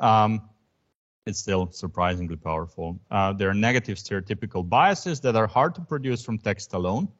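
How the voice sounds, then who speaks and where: A man whose first language is Croatian, giving an academic lecture in a thoughtful way, with frequent ums and restarts. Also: fairly low pitch. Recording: dull lo-fi recording.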